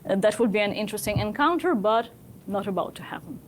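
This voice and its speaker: A woman's voice: mid-range voice, lively intonation, fast, a little hiss or hum. A woman from Ukraine with a Ukrainian accent, an academic researcher presenting her work and answering questions in a structured, articulate way.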